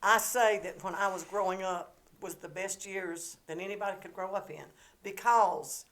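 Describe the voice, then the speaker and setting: This older woman has a Southern accent and tells her stories in a lively, playful way, laughing often as she talks. Her pitch sits in a middle range, neither high nor low. She is chatting as the guest on a relaxed podcast. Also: moderate pace, low-quality recording. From Georgia, US. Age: 70s. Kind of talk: casual storytelling chat.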